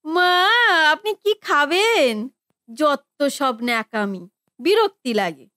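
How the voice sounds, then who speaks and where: Woman doing a whiny greedy cartoon sister-in-law, really high voice, sneers and complains, swoops around. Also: sing-song, slow pace, fairly bright tone, dry recording, studio quality, heavily acted. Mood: spiteful, disgusted. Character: spiteful, greedy grown sister-in-law, grumbling and demanding. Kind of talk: cartoon family drama acting.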